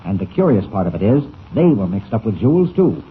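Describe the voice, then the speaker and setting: A man's voice: fairly low pitch, sing-song, breathy edge, narrow, tinny audio, heavily acted. A man playing a brusque older newspaper editor in 1940s radio serial style, impatient and emphatic, on an old scratchy recording. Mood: grave, intrigued. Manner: impatient, emphatic, rapid clipped phrases.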